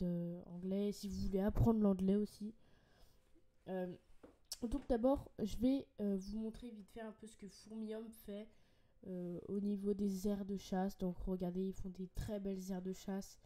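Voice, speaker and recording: Young French female host, slightly congested, chatty hobby vlogger, warm dark voice. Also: medium pitch. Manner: chatty and enthusiastic, many fillers and restarts. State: has a cold, a bit congested. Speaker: ant-keeping channel host. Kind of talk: casual screen-share product walkthrough.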